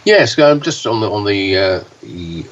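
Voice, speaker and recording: A man co-hosting a podcast, talking with a hoarse voice and halting, with frequent fillers and restarts. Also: on the low side, very animated. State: hoarse, deeper voice than usual. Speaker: podcast co-host, pinball news reporter. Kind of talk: casual podcast chat. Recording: dull, slightly distant, faint hiss.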